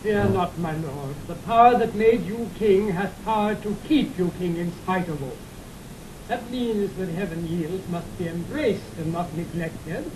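An actor playing an earnest, pious bishop advising his king in Shakespearean verse. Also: a little mellow. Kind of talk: classical Shakespearean verse acting. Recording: rumbly, noisy vintage studio recording.

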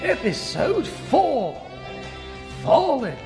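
A man playing a genteel, excitable steampunk inventor, old-fashioned, fussy and halting, in an audio drama. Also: extremely high pitch, music in the background, heavily acted. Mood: earnest, fussy. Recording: echoey reverb with loud background audio.

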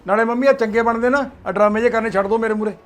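A man's voice: extremely high pitch, steady tone, rapid-fire. An actor playing an angry grown son who scolds his mother and wife harshly. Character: angry grown son and father, cold and stern. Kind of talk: family drama acting.

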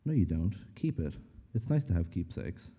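Man reading as a gentle, worried sister, dark-toned. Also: low-pitched, very animated, normal speed, slightly rough, heavily acted. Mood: soothing. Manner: gentle, consoling. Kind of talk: story reading. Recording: echoey hall, long reverb.

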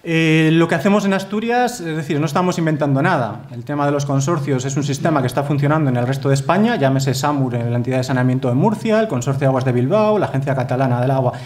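A man's voice: on the high side, fast, few pauses, a little mellow. Earnest Spanish man giving a talk. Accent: Spanish from Spain. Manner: earnest, emphatic, frequent tag questions. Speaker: conference speaker. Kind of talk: formal conference talk with slides. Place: wood-panelled lecture hall.

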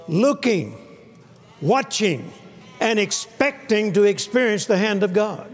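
An older man preaching a sermon to a live audience on a church stage, emphatic and repeating key phrases, often calling for amens. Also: sits high. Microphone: lapel mic. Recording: long hall reverb.

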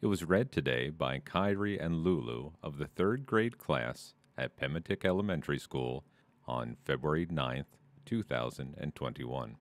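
A man's voice: extremely low pitch, expressive, measured pace, dry recording. A man giving a scripted introduction to a story read-aloud.

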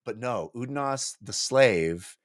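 A middle-aged man discussing a book casually as a podcast co-host, thoughtful and emphatic, with halting phrasing. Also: mid-range voice, lively intonation, dry recording, clean studio sound. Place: small home room, indoors. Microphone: close desk microphone on boom arm.

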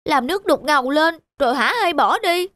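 A woman's voice: sits high, expressive, moderate pace, fairly bright tone, no room sound. A woman voicing an earnest, respectful young boy disciple in a cartoon, polite and eager.

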